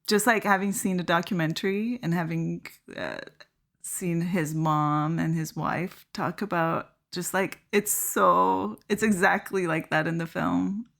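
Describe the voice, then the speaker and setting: Gravelly low-voiced woman. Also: slow pace. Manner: relaxed, trailing, filler-heavy. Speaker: podcast guest. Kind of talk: casual film discussion.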